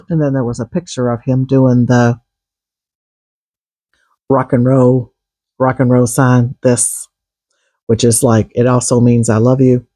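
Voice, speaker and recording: A man getting over a cold, talking in a froggy, husky voice with earnest, halting political commentary in a casual vlog. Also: mid-range voice, little pitch movement, mellow, no room sound, low-quality recording. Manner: earnest, with frequent restarts and coughs. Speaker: vlogger.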